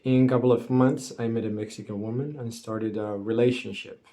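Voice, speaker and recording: A man's voice: mid-range voice, steady tone, a little fast, mellow. A man, earnestly narrating a scripted motivational story as a solopreneur creator. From Finland.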